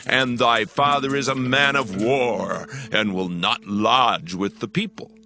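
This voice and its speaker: An actor playing a shrewd, persuasive counsellor in an ancient royal court, in a dramatized scripture recording with deliberate, emphatic oratory. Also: male voice, medium pitch, over music. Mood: grave, persuasive.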